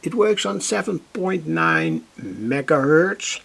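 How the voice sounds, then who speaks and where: A man demonstrating a radio circuit at his workbench, speaking haltingly with pauses, fillers and self-corrections. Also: on the high side, wildly swooping pitch, creaky, fairly bright tone, breathy edge.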